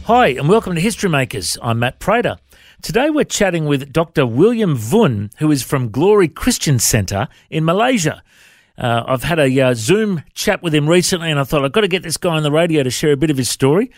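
A man's voice: on the high side, very animated, brisk, dry recording. A man hosting a casual radio interview on a close studio microphone.

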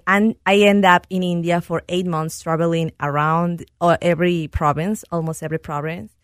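A woman's voice: lowish voice, no echo, clean studio sound. A woman from Peru, a talk-show guest telling her story earnestly and sometimes searching for words in English. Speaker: guest, program director. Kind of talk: casual radio talk-show conversation.

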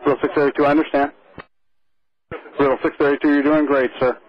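Fast-talking male air traffic controller on radio. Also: on the high side, highs cut off. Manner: calm, reassuring. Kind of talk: procedural radio instructions.

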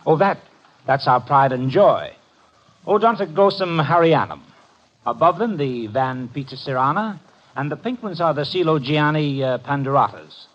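An actor playing a cocky, wisecracking detective's assistant in a 1950s radio mystery, breezy and slangy. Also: male voice, a little high, expressive, moderate pace. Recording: dull vintage 1950 radio recording.

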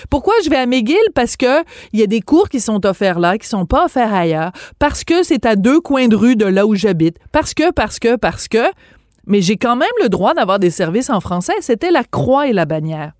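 A woman in her fifties from Quebec with a Quebec French accent, telling a story in a lively, chatty, self-mocking way on a radio show. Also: fairly high pitch, normal speed, dry recording, studio quality. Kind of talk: casual storytelling in radio talk.

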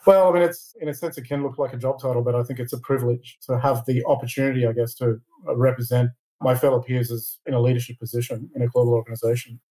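Iranian-born man, fast and flat. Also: medium pitch, low-quality recording. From Iran. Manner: measured, repeats words at starts of phrases. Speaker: interview guest, lawyer and board chair. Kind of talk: conversational interview answers.

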